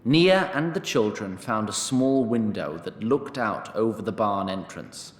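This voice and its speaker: A man narrating a scripted fantasy story in a measured, dryly wry manner. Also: fairly low pitch, expressive, echoey room.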